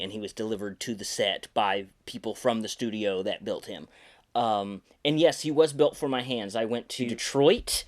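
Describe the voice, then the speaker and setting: A man hosting his podcast in an easygoing, rambling way, full of filler words and restarts, and a little congested from sinus trouble. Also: lowish voice. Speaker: podcast host and puppeteer. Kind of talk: casual podcast chat.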